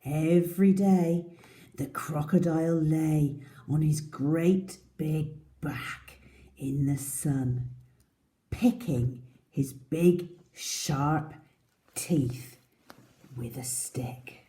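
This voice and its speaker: A woman reading a storybook aloud to young children as a teacher, in a deliberate, expressive manner. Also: sits low.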